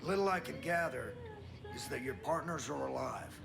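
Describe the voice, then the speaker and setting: An actor playing a gruff, weary older American survivalist in a video game, talking bluntly with swearing and a folksy turn of phrase. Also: male voice, fairly high pitch, lively intonation, normal speed. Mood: urgent, determined. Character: gruff, weary older male survivalist, cynical but defiant. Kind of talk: video game cutscene acting. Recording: dramatic music behind the voice.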